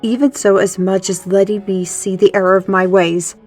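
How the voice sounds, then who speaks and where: A woman's voice: medium pitch, level tone, normal speed, darkish tone, soft background music, close to an everyday voice. Defiant, hot-tempered fantasy mage woman played by an actress. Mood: defiant protest. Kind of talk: fantasy audio drama acting.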